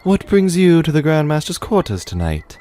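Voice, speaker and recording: Man acting overly pleasant guard, high and lilting. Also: big pitch swings, normal speed, warm tone, soft background music. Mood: falsely pleasant.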